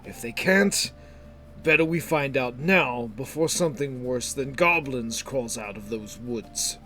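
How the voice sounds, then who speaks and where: A man's voice: medium pitch, unhurried, a crowd in the background, lightly acted. A man voicing a stern, grim guildmaster in a fantasy story, firm and plain-spoken.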